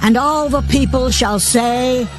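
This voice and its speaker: A man's voice: extremely high pitch, takes it slowly. A man narrating dramatized scripture in a solemn, declamatory way, with music behind.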